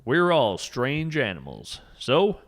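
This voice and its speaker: A man's voice: medium pitch, wildly swooping pitch, slow pace, exaggerated voice. A man playing a folksy, whimsical naturalist adventurer, telling scripted tall tales in a wry, theatrical way for a podcast. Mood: earnest wonder. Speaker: podcast narrator. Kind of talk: scripted first-person storytelling monologue.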